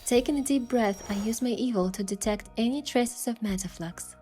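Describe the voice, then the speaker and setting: A woman playing a sincere young heroine, narrating her romance story in a soft, measured and expressive way. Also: on the high side, natural voice. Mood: reflective narration. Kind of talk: scripted first-person story narration and dialogue. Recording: music behind the voice.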